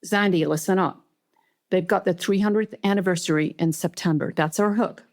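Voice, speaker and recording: A woman's voice: lowish voice, steady tone, normal speed, a little mellow, lightly acted. A woman voicing a curt, scornful male newsroom boss with a Southern US accent in a book reading. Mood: curt, impatient. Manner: brusque, dismissive.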